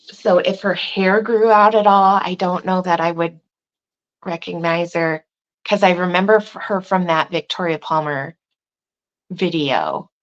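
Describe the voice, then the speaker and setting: Slow, low-pitched woman chatting. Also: highs cut off. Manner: rambling, thinks aloud with restarts; says she has a very loud voice. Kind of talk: casual chat.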